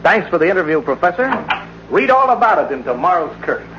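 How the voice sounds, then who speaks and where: An actor playing a brash, pushy, cynical newspaper reporter, breezy and insistent, in old-time radio drama. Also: male voice, high-pitched, sing-song, fast. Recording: muffled, hissy old radio recording.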